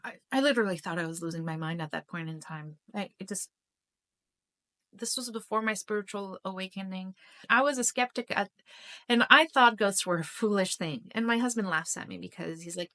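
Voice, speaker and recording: A middle-aged woman and host of her own channel, telling a personal story to camera, emotional and halting, with frequent restarts. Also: mid-range voice, sharp tone. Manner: emotional, halting, many restarts and self-interruptions. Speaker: spiritual YouTube channel host.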